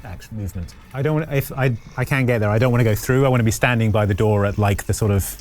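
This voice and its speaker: A man's voice: fairly low pitch, quick pace, darkish tone. A man chatting casually as a player in a tabletop game, working out his character's move.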